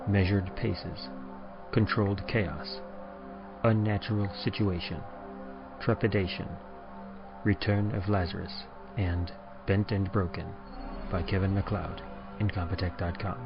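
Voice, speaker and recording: A man with a deep voice delivers a scripted podcast announcement. His sound is slightly dull, with music clearly playing behind him. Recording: dull, muffled audio.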